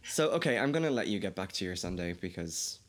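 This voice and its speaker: A man's voice: deep voice, lively intonation, quick pace. A man with an Irish Kildare accent hosting a casual, chatty conversation, laughing often and swearing freely. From Ireland. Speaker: podcast host. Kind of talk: casual chat.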